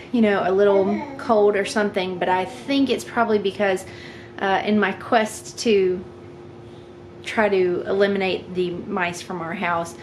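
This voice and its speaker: A woman in her thirties chatting casually to the camera for her daily vlog, her voice a bit low and congested, rambling with fillers. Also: slight background noise. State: slightly husky, congested voice. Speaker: vlogger.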